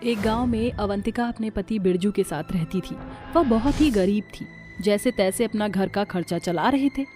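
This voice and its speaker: A woman's voice: mid-range voice, moderate pace, warm tone, soft background music. A woman narrating a scripted story as the storyteller.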